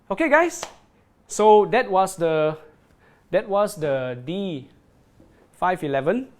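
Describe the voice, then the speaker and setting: A man hosting a live product demo, talking off the cuff as he reads out viewer comments. His voice sits a touch higher than most men's. He stops and restarts a lot and keeps asking "right?". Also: very animated. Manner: halting, repeats words, checks in with "right?". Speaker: product presenter on a livestream.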